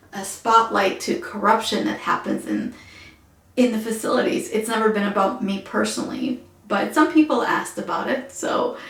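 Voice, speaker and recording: Soft-spoken Ukrainian-accented woman, echoey room. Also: medium pitch. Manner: soft-spoken, halting with fillers. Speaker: vlogger. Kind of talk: casual personal vlog.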